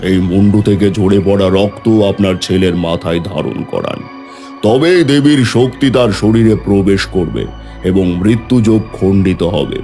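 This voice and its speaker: A man's voice: low-pitched, measured pace, warm tone, faint music behind. A man voicing a solemn, commanding tantric priest in a dramatic horror cartoon, urgent and ominous.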